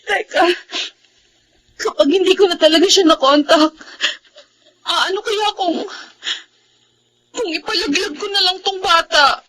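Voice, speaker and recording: Woman playing a high-voiced, meek pregnant daughter. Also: a little slow, long pauses, fairly bright tone, rough recording. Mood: desperate, tearful. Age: 30s. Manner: hesitant, pleading. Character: distressed, pregnant young woman, meek and pleading. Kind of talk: Filipino audio melodrama acting. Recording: faint background noise.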